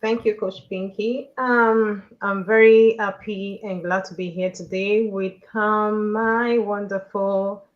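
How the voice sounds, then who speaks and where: A woman giving an upbeat, persuasive business pitch on a podcast panel, repeating phrases for emphasis. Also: steady tone, slow, hardly any pauses, muffled recording. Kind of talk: motivational pitch.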